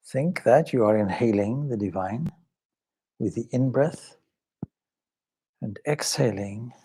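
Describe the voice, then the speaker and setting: A man leading a guided meditation as a swami, speaking calmly and reverently in measured short phrases with pauses. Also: medium pitch, husky, low-quality recording. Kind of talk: guided meditation with some Sanskrit chanting.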